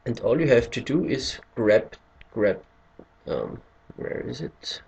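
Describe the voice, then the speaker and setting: A man's voice: medium pitch, expressive, takes it slowly, creaky, darkish tone, dull audio. A man from Austria, a native German speaker with an Austrian German accent, hesitantly walking through a screen-recorded tutorial in English and searching for words as he goes. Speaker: tutorial maker.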